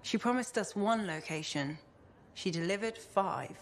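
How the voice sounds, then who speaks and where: Game casting: woman plays serious female scholar, mid-pitched, measured and explanatory, faint noise behind. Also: lightly acted. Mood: composed, serious. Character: composed, serious woman scholar briefing on legends. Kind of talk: video game acting.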